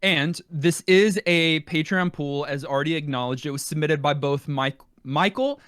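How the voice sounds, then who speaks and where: A man's voice: on the high side, moderate pace, a bit bright, clean tone, no room sound, studio quality. A man co-hosting a podcast in casual, teasing conversation about video games. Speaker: podcast co-host.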